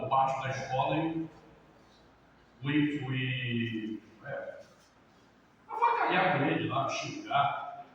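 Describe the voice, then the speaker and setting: Animated storytelling pastor in a big echoing hall. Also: male voice, fairly high pitch, highs cut off. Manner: lively storyteller, acts out quotes, laughs. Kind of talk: sermon-style talk with personal stories. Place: large church hall. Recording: roomy church hall, distant mic, background noise.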